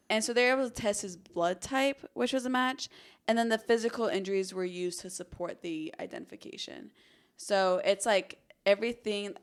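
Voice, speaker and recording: A woman's voice: medium pitch, smooth. A woman co-hosting a true-crime podcast, telling the case in a casual, conversational way peppered with "like". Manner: casual, frequent "like" as hedging.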